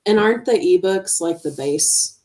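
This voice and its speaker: Woman with a low voice, easygoing short replies, just chatting along. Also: lively intonation, moderate pace, a little mellow, poor audio. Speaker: read-along co-host. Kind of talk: casual chat.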